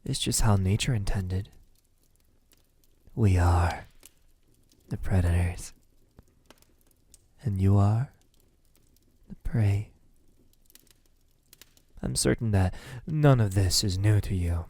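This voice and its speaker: A man playing a brooding vampire in an ASMR roleplay, speaking softly and intimately with a breathy, halting delivery. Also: on the low side, heavily acted. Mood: cold, condescending. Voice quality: soft, breathy, husky. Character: lonely, lovesick male vampire, menacing toward humans.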